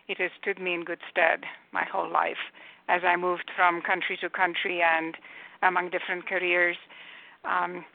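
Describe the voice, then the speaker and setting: A Romanian-accented woman in her sixties talks as a radio guest, thoughtful and articulate, often pausing on fillers and restarting phrases. Her voice sits at a middle pitch. It comes through a dry, narrow, phone-like line. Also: no echo. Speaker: guest, executive coach. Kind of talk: radio interview answers. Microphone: telephone.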